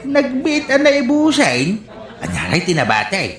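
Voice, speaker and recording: A woman's voice: a little high, wildly swooping pitch, unhurried. A woman playing a nosy, wheedling middle-aged uncle in a comedy radio drama. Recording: roomy echo.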